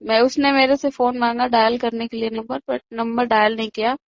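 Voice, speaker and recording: Woman eyewitness, higher-pitched voice, conversational recounting, muffled phone-call audio, dry sound. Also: flat delivery, normal speed, creaky, no echo. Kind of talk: casual recounting of events. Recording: dull lo-fi phone call recording.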